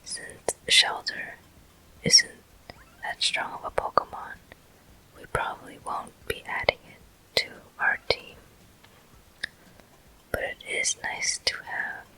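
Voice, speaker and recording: Breathy unvoiced whisper, ASMR gameplay host, very slow, gentle, deliberate, very bright airy sound. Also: low-quality recording.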